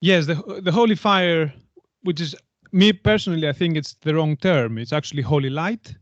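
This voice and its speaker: A man's voice: fairly high pitch, moderate pace, dry recording, highs cut off. A man explaining things conversationally as an interview guest, in hesitant, stop-start phrases with repeated words. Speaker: podcast guest, anthropologist.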